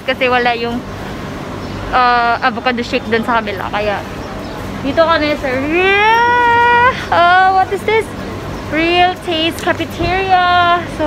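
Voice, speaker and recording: A young woman vlogging on a bike ride, chatty, laughing often and out of breath, filmed on a phone at arm's length outdoors. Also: high voice. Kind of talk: casual vlog chat. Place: outdoors on city streets and at a small cafeteria. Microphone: phone camera at arm's length. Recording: traffic noise outdoors.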